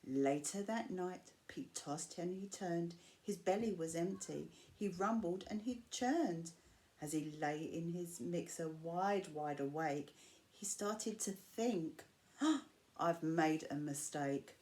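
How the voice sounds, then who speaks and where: A woman reading a rhyming picture book aloud to children, with measured, expressive phrasing and drawn-out key words. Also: on the low side. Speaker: storyteller reading to school pupils. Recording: clear background noise.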